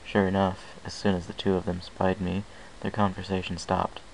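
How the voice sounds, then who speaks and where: A man narrates fan fiction in the first person. His voice is fairly low with a gravelly creak. The homemade recording carries some noise. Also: completely flat delivery, moderate pace, rough recording.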